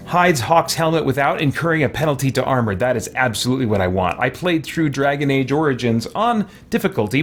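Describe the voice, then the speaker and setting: Low-voiced man rambling through gaming commentary. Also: slight background noise. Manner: rambling, thinking aloud, chuckling often. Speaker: let's play host. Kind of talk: casual gaming commentary, reading menus aloud.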